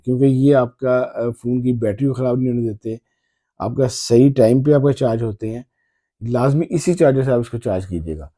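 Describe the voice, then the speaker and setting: A man with a very dark, soft-edged, mellow voice at a middling pitch. He talks casually as a tech vlogger presenting a product, haltingly and often saying a phrase again. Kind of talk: casual phone unboxing commentary.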